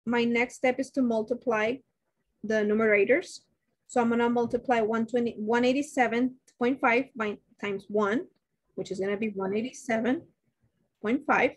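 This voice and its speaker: A woman's voice: a little high, steady tone, normal speed. A woman nursing instructor working through a dosage problem in a live online lecture, restarting sentences and asking her class questions. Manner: thinks aloud with many restarts, asks class questions. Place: indoors, webcam beside shared slides.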